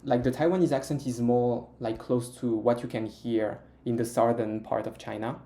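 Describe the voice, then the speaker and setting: A man's voice: medium pitch, fairly even intonation, normal speed, dark tone. A young man with a French accent, chatting as an interview guest about learning Mandarin, hesitant with many fillers and restarts. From France. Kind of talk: casual interview chat.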